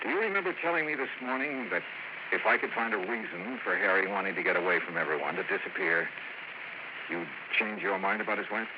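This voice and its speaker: A dogged American detective played by a man in an old radio drama. He is clipped and wry, with a lowish, animated voice. The sound is muffled and full of noise. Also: fast talker, natural voice. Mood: firm. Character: cool, dogged male insurance investigator. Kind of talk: 1950s radio detective acting and narration.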